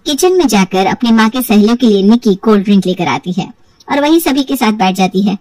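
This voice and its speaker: A woman's voice: mid-range voice, expressive, fairly quick, darkish tone, dry recording, distant mic, low-quality recording. A woman narrating a family moral story in a scripted storytelling style.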